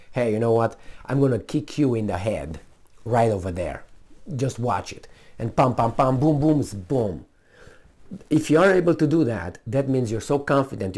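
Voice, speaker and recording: Low-voiced Romanian man giving blunt commentary. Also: darkish tone. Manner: blunt, emphatic, rambling with asides and repetition. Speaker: political commentator. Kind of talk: casual unscripted news commentary.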